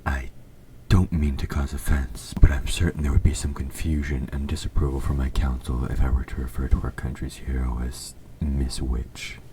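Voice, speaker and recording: A man playing an evil king in an audio roleplay, speaking to the listener in a formal, measured, politely sinister way. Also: extremely low pitch, flat delivery, dark tone. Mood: sympathetic, formal. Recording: faint background noise.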